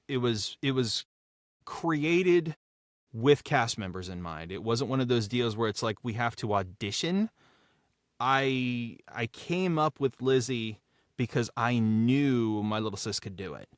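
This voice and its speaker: A man talks casually and with real enthusiasm, like a creator discussing his own show. His voice is mid-pitched and recorded dry and close, and he repeats phrases for emphasis. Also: dry recording. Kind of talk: casual behind-the-scenes podcast talk.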